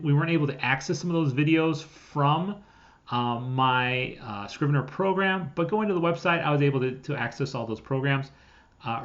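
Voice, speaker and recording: A man reviewing software in a casual, conversational and informal way, talking as if straight to his viewers. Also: fairly high pitch, highs cut off. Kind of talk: casual scripted-outline product review.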